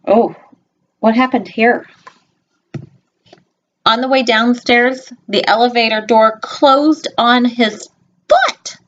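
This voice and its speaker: A woman's voice: a little high, muffled recording. A woman reading a children's picture book aloud expressively, with simple instructive asides to young listeners. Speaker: storybook reader.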